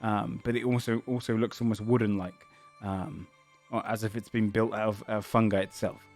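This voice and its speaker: A man's voice: fairly low pitch, level tone, normal speed, darkish tone, soft background music. A man narrating a tabletop game as game master, describing the scene in a searching, descriptive way.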